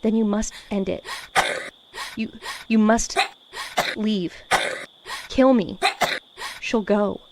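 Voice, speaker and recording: A woman playing a gravely injured mother in an audio drama, weak and pleading, struggling for breath in broken phrases. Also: mid-range voice, steady tone, drawn-out words, pauses often, darkish tone, slight background noise, low-quality recording. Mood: desperate pleading. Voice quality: breathy strained faltering speech with wheezing.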